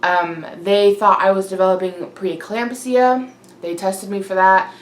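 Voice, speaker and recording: A young woman vlogging in a casual, chatty way, slightly congested, breathy and winded from a cold. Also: mid-range voice, steady tone, a little slow. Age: young adult. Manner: informal, chatty. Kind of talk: casual chat to camera. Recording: roomy echo, clear background noise.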